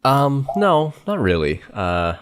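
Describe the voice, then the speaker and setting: A man hosting a podcast interview, relaxed and self-deprecating, hesitating with fillers. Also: mid-range voice, takes it slowly, smooth. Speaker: podcast host. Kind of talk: casual interview chat.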